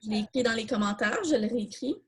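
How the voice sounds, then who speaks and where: A woman's voice: fairly high pitch, fast talker, breathy edge. A woman with a Quebec French accent hosting a live online coding workshop, informally thinking aloud and answering questions as she demonstrates. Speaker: workshop host.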